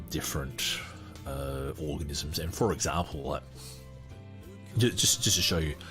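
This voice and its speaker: A man with a low, deep voice reads a book aloud for his podcast and keeps stopping to pick it apart. He talks in an animated way, laughing often and stumbling through fillers and restarts. Faint music plays behind him. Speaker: podcast host. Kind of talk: reading a book aloud with commentary.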